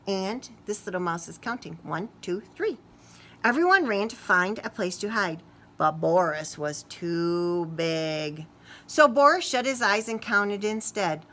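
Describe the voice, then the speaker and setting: A woman reading a picture book aloud to young children expressively, pausing to ask them questions. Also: mid-range voice, expressive, sharp tone, a little hiss or hum. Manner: talks to young listeners, asking questions. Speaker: children's story reader.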